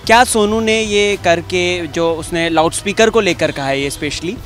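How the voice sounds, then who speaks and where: A man's voice: high-pitched, normal speed, fairly bright tone, faint music behind. A man with an Indian accent presenting and asking questions as a reporter in street interviews. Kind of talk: vox pop presenting and questioning.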